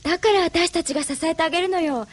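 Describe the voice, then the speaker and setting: An actress playing an earnest, gentle, caring young woman of a resistance group in an anime audio drama. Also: very high-pitched, fast talker. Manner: soft feminine, pleading speech.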